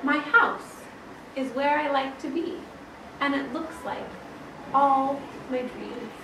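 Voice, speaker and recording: A serene, proud storybook dreamer states himself plainly and deliberately, read out by a woman in a high voice. The sound is roomy and a little far from the mic. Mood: calm pride. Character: calm, proud, dreamy storybook homeowner man. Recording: roomy, distant, noisy classroom recording.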